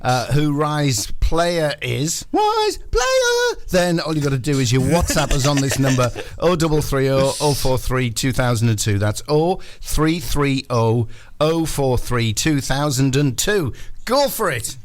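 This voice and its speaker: A man's voice: fairly high pitch, very animated, a little slow, background noise. A man hosting a breakfast sports radio show with casual, jokey, self-deprecating football banter.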